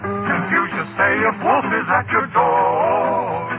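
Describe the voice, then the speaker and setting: A man's voice: high-pitched, slow. A man performing a vintage comic novelty song theatrically in mock pidgin English. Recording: tinny old radio recording with loud music.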